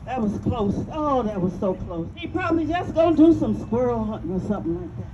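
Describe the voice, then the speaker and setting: A woman playing a wary enslaved Southerner in a stage drama, high-voiced and swooping in pitch. She chimes in like a call and response, repeating herself. The sound is muffled, with audience noise behind her. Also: sing-song, brisk, a crowd in the background. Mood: fretful. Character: wary, chiming-in enslaved woman listening to a boaster. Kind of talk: live dramatic reading theater.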